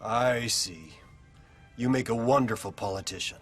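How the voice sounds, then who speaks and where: An actor playing a sarcastic, wisecracking biker gang member in a video game, slangy and contemptuous. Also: male voice, mid-range voice, a little slow, slightly rough, faint music behind. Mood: dry, sardonic. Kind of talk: video game cutscene acting.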